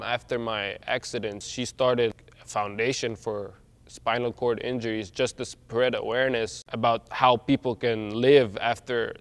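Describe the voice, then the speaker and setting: A young man from Egypt, reflecting on his life as a wheelchair athlete in a documentary interview. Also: medium pitch. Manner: reflective, loose with "like" fillers. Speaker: wheelchair basketball player.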